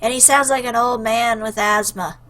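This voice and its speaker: Playful woman with a highish voice. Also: steady tone, moderate pace, a bit bright, a little hiss or hum, low-quality recording. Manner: playful, theatrical, teasing. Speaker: player commenting on a game. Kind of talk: casual, jokey playthrough commentary, reading choices aloud.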